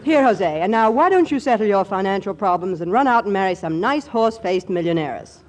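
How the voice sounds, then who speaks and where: An actress playing a quick-witted, wisecracking secretary in a 1940s radio comedy. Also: female voice, mid-range voice, lively intonation, lightly acted. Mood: teasing, amused. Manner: wisecracking, teasing banter. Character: quick-witted, wry secretary who runs her boss's life. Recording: vintage 1940s radio broadcast.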